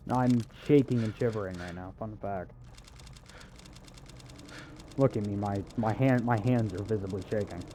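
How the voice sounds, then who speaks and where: A man's voice: lowish voice, moderate pace, pauses often, dark tone, slight background noise. A man giving casual video game walkthrough commentary, with hesitant, wry, self-deprecating asides.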